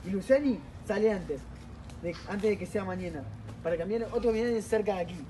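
A man's voice: sits high, fairly quick, dark tone, natural voice, rough recording. A man with an Argentine accent playing a bossy leader of hidden soldiers, giving strict orders in stage play dialogue. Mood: commanding. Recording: clear background noise.

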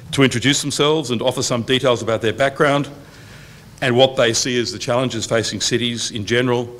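Brief: middle-aged man, academic host at a lectern, formal and measured opening remarks, mid-pitched voice, lectern mic in a function room. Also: fairly bright tone. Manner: measured, reads out long lists evenly. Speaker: university dean opening a public event. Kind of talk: formal welcome speech at a lectern. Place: university function room, indoors, medium size. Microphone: lectern microphone on a boom stand.

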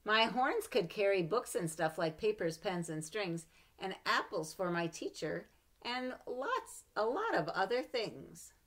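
Expressive woman reading a picture book. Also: medium pitch, measured pace. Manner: expressive, animated read-aloud with playful asides. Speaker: storybook reader. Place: home room with bookshelves. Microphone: webcam at desk.

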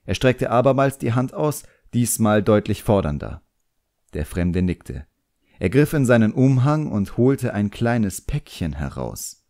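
A man's voice: on the low side, sing-song, unhurried, dark tone. A man narrating a fantasy novel as a scripted audiobook, in a storytelling style.

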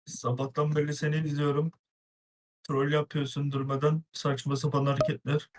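A man's voice: fairly high pitch, completely flat delivery, moderate pace, noisy background. A man speaking as a game server moderator over voice chat, blunt and accusing. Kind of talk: confronting a player in voice chat.

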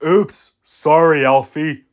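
A man voicing a clumsy, eager, apologetic construction machine in a children's cartoon, exclaiming and repeating himself in drawn-out phrases. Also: on the high side, clean tone. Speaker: voice actor performing a character reel. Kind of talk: animated children's show character acting.

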